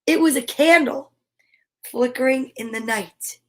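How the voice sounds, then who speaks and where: A woman with a high voice reads a children's picture book aloud. She takes her time, drawing words out expressively and dropping in chatty asides as the host of the storytime. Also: a little slow.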